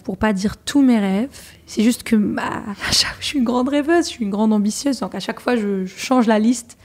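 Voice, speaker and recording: Lively Algerian-born woman in her thirties. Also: mid-range voice. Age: 30s. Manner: lively, laughs often, many fillers and restarts. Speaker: guest, journalist and TV presenter. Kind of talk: casual interview talk.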